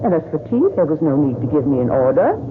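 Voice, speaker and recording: A woman's voice: sits low, very animated, quick pace. Indignant, scolding servant woman. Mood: defensive. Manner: defensive, scolding, accusatory. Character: indignant, spiteful female household cook and servant. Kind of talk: old-time radio drama acting. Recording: tinny, noisy old radio recording.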